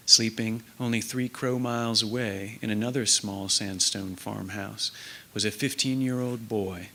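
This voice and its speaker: A man reads literary prose aloud on stage. His voice is a little low with plenty of fry and a bright, forceful edge. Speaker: literary event reader. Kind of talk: reading a literary memoir essay aloud. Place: on stage in a theater. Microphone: stand microphone.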